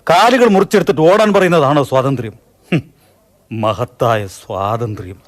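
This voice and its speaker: A man playing an earnest, patriotic man in a Malayalam radio drama, reasoning in a steady, principled way. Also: mid-range voice, moderate pace, lightly acted.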